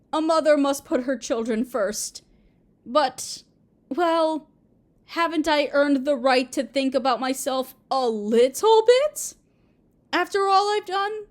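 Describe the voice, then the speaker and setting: Casting: female actor for clingy, motherly planet spirit in roleplay audio, high sweet voice, slow and pleading, very expressive. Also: exaggerated voice. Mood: pleading, wistful. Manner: tender, hesitant, pleading, sweetly overbearing. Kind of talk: roleplay audio drama, intimate monologue.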